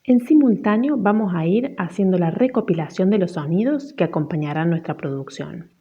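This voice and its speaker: An Argentine woman explains things as a teacher would. Her voice is fairly low and warmly dark, in a slightly muffled recording. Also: lively intonation, normal speed. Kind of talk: instructional presentation with examples.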